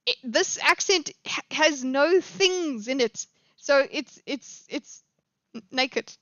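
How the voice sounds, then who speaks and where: A woman's voice: high-pitched, animated, takes it slowly, a bit bright, no echo, lo-fi sound. A woman with a South African accent, commenting on a live stream in a rambling way, with many fillers and restarts.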